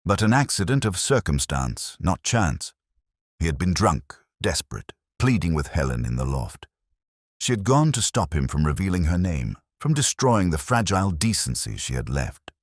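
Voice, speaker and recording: Very deep-voiced, world-weary male detective played by an actor. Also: big pitch swings, no echo, studio quality. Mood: somber, reflective. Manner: measured, solemn, literary phrasing. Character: world-weary, reflective male police inspector narrating his case. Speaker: narrator. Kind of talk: scripted first-person detective audio drama narration.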